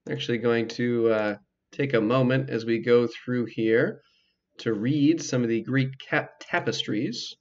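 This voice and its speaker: A man's voice: medium pitch, measured pace, a little mellow. A man giving casual, hesitant commentary as he plays a video game, thinking aloud. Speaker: gameplay video host. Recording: slightly dull lo-fi mic, clicking.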